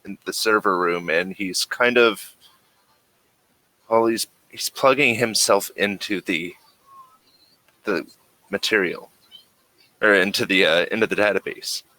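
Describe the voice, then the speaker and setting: Male player as a nervous lab-coated staffer for a sci-fi game, bright low voice, stumbling and hesitant. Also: a little slow, long pauses, poor audio. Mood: worried, hesitant. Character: uneasy lab-coated worker reporting trouble.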